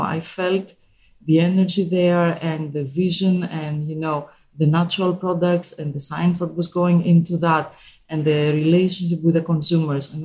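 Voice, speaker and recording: A woman from Greece with a Greek accent, a company co-founder answering interview questions in a chatty, unhurried way with many fillers. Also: deep voice, darkish tone, rough recording.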